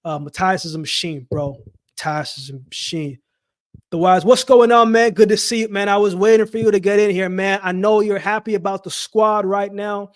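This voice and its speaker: A high-voiced man hosts a casual live chat in a dry, close-sounding space. He talks in loose slang and often says a phrase again for emphasis, as if speaking straight to his regulars. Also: moderate pace, no room sound. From Jamaica-born, raised in Canada. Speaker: livestream host. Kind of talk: casual live chat with viewers, boxing commentary.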